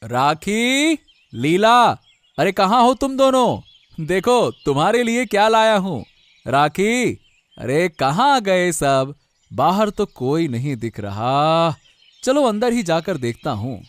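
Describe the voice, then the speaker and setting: A man's voice: sits high, sing-song, slow, no room sound, exaggerated voice. A man voicing a kind, anxious, protective family friend in a cartoon story, with a stammering, soothing manner. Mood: searching. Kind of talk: Hindi cartoon story voice acting.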